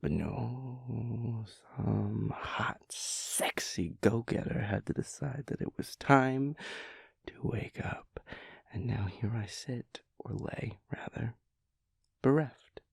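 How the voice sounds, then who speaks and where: Guy doing a sleepy goofy boyfriend roleplay, gravelly n breathy, slow theatrical rambling, close dry sound. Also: mid-range voice, dry recording, heavily acted. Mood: mock dramatic. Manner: mock-dramatic, giggly, flowery wording, swinging into hushed breathy asides. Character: playful sleepy chaotic boyfriend, dramatic and silly.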